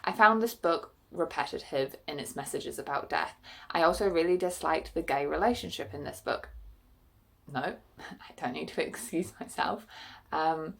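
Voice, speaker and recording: A young woman, chatting frankly to camera in a vlog with lots of fillers, her voice a bit croaky from being unwell. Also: low voice, normal speed. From New Zealand. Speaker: book vlogger. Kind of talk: casual chat to camera.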